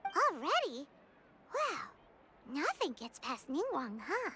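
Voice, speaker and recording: A woman voicing a small, childlike fairy companion in an anime game, with a squeaky, perky, cheeky delivery. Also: huge pitch swings, slow, background noise, exaggerated voice. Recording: game music and jingles behind.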